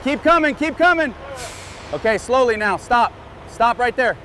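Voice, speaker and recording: A man's voice: very high voice, expressive, normal speed, pushed and bright, slight background noise. A man working as a dock hand, calling out short commands to guide coworkers. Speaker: dock worker.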